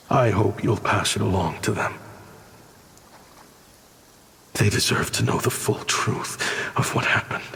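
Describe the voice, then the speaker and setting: An actor playing a remorseful male weapons designer in a video game, making a grave, deliberate confession in a low, gravelly voice. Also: fairly bright tone, slight background noise, full character voice. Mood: solemn, pleading. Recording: long reverb, music behind.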